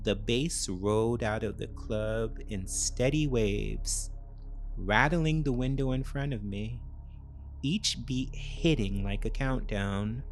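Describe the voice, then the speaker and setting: Slow, measured male thriller narrator. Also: mid-range voice, soft background music. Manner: dramatic, measured short phrases. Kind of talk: scripted first-person thriller narration.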